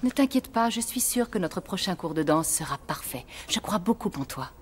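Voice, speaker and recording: A woman's voice: fairly low pitch, animated, moderate pace, slight background noise, natural voice. A woman playing a kindly, encouraging ballet teacher in a cartoon, giving instruction over music. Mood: gentle, reassuring. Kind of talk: dubbed cartoon acting. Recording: music behind the voice.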